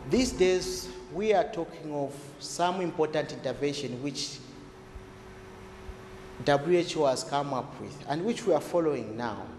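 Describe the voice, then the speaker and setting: Echoey, fairly high-voiced man explaining. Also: animated, a little slow, a little mellow, soft background music. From Zambia. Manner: didactic, repeats points, rhetorical questions. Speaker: health expert. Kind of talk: explanatory talk to camera. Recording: echoey reverberant room, faint noise.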